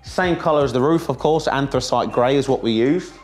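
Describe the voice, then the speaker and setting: A man in his thirties talks through his building project in an easy, chatty way. He sounds excited and jokey at a middling pitch. He is recorded on a camera mic in a bare warehouse. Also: fairly quick, faint music behind. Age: 30s. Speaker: vlog host. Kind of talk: casual walkthrough vlog. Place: warehouse under construction, indoors and on roof.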